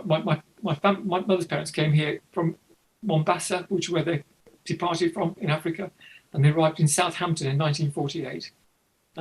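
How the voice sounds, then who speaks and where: A man's voice: a little high, low-quality recording. An older man born in England whose first language was Polish, talking in an interview with many ums and restarts. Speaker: interviewee, photographer. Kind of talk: reflective interview talk.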